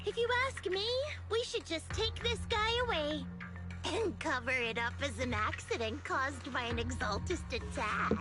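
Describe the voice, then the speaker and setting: An actress voicing a fiery, loyal young female soldier in a video game, sharp-tongued and indignant. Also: sits high, expressive, normal speed, over music. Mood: coldly menacing.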